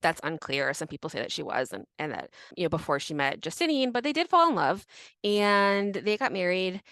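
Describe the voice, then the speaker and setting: Young female podcast co-host, casual storyteller, low-ish voice, dry close mic. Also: very animated, fairly quick, fairly bright tone, no room sound. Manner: chatty, laughs often, many "like" fillers.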